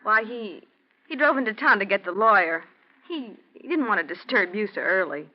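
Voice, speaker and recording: A woman's voice: medium pitch, lively intonation, normal speed, natural voice. Lady doing a sly plain-talking frontier wife, voice up and down a lot, muffled old radio drama. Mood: evasive. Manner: folksy, pointed. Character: wary, conniving, plain-spoken backcountry wife. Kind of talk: 1940s radio drama acting. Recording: muffled, noisy 1940s radio broadcast.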